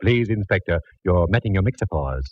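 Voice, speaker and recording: A droll, genteel gentleman speaks in a deep voice with highly animated intonation. He is arch and fond, a theatrical 1940s radio sidekick heard through a muffled recording. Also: fairly quick, breathy edge, no echo. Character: genteel, droll male old friend, affectionately fussy. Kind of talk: 1940s radio comedy-drama acting.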